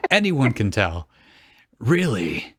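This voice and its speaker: A man's voice: on the low side, a little slow, fairly bright tone, no room sound, studio quality. A man co-hosting, riffing casually on a story in a wry, nitpicking way. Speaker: co-host.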